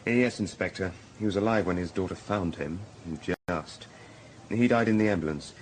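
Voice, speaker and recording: A man's voice: low voice, normal speed, a little hiss or hum, close to an everyday voice, poor audio. A man acting a candid hospital doctor in a radio drama, British and conversationally matter-of-fact. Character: brisk, candid male hospital casualty doctor.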